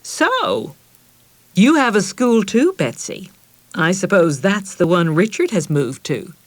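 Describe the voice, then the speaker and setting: A woman voicing a good-natured father who gently teases his daughter, in a storybook reading. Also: medium pitch, big pitch swings, slow pace, no echo. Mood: amused.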